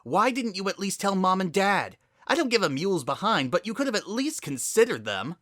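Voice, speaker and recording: Man voicing a sharp, sarcastic angry pegasus mare. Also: high-pitched, big pitch swings, fairly quick, no echo, clean studio sound, pitched above the performer's own voice. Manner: biting, sarcastic, confrontational. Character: angry, sarcastic female pegasus pony, bitter older sister. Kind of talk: dramatic story reading.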